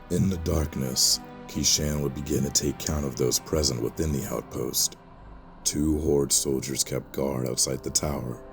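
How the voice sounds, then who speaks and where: A man giving solemn, measured, scripted narration for a fantasy audio drama. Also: very deep voice, creaky. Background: music under the voice.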